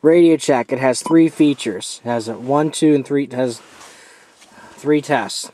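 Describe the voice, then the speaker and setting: A man giving a casual, hands-on product review as an amateur, informal and halting as he steps through features. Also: mid-range voice, warm tone.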